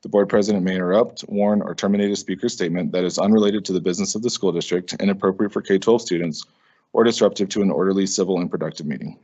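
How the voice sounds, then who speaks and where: A man chairing a school board meeting, briskly reading out formal rules for public comment into a meeting microphone. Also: sits low, fairly even intonation, darkish tone. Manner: brisk, procedural, measured reading. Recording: dull lo-fi meeting audio.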